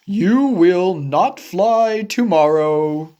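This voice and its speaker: A woman voicing a doubtful, deadpan male elephant in a children's picture book, contradicting slowly and emphatically. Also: fairly low pitch, muffled recording, pitched below the performer's own voice. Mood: dismissive. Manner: flat contradictions, slow and emphatic.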